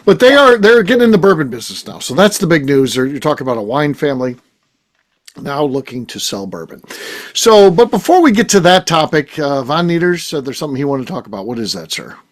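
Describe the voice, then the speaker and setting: A man's voice: on the high side, very animated, quick pace. A middle-aged man hosting a casual roundtable chat, jokey, with frequent restarts and fillers. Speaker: host.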